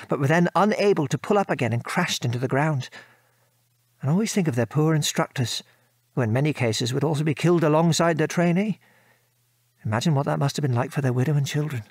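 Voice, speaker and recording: A man's voice: fairly high pitch, dry recording. An actor with a British accent playing a former RAF airman and father, frankly recounting his war service in an articulate, old-fashioned way for an audio drama. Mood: somber, aggrieved. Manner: articulate, measured storytelling with old-fashioned British turns of phrase. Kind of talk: conversational audio drama reminiscence.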